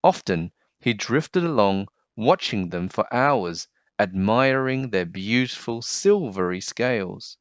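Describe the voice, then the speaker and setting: A man with a fairly low voice reads a children's storybook. He takes his time and varies his tone a great deal, in a dry, slightly dull recording. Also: lively intonation, slow, hardly any pauses, no echo. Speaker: children's book narrator. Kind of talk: expressive scripted storybook narration.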